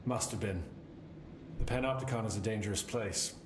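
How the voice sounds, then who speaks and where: A man's voice: lowish voice, moderate pace, natural voice. A man playing a wry, exasperated government containment supervisor in a video game. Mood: matter-of-fact, wry. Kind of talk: video game dialogue acting. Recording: roomy, clearly noisy game audio in stream.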